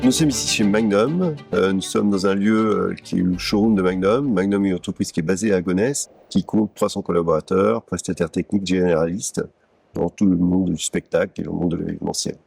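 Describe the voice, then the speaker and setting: A man in his sixties from Paris, a company executive telling his career story in an interview, measured and pausing with many fillers. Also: deep voice, vocal fry, darkish tone, husky, faint music behind. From France (Paris). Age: 60s. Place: company showroom.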